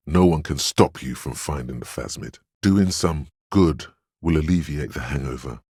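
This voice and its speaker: Very deep, husky, steadfast inner voice. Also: male voice, darkish tone, no room sound, clean studio sound, exaggerated voice. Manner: measured, solemn, encouraging counsel. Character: male inner voice of willpower, steadfast, reassuring, gravely earnest. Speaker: video game voice actor. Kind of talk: scripted inner-voice narration for a role-playing game.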